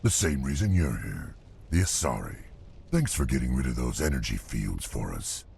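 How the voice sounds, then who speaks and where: An arrogant alien mercenary brute from a sci-fi game. The voice is extremely low and full of gravel, swinging widely as it taunts and gives orders with menace. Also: male voice, very animated, moderate pace, darkish tone, full character voice. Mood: taunting menace. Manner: taunting, commanding. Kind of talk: video game voice acting.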